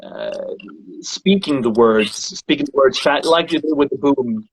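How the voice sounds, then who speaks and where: A male singer hosting a casual chat about voices, speaking thoughtfully and halting often to find his words. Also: mid-range voice, husky, no echo, low-quality recording. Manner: thoughtful, halting, repeats words while searching. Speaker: singer and show host. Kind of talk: casual conversation about singing.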